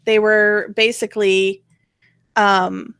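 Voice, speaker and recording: A woman's voice: medium pitch, level tone, takes it slowly, a bit bright, smooth, no echo. Blunt, sarcastic woman in dry, close sound. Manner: blunt, sarcastic, mildly profane. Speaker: talk show host. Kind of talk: casual political rant.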